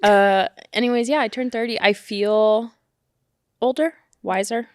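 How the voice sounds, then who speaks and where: A woman of thirty co-hosting a podcast in casual chat, talking in an animated way, often laughing and filling with "like". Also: fairly high pitch, measured pace, no room sound. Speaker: podcast co-host.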